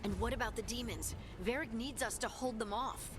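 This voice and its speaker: An actress voicing a loyal, brave dwarf scout in a video game, earnest, alert and urgent. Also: female voice, fairly high pitch, fairly quick, background noise, close to an everyday voice. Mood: worried. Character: spirited, loyal female dwarf scout, anxious but brave. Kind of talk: video game action acting. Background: cinematic music.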